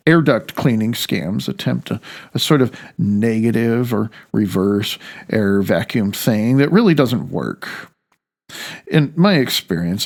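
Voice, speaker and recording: A man's voice: mid-range voice, gravelly, a little mellow. A man reading his own audiobook, with a dry, wry manner and rambling asides.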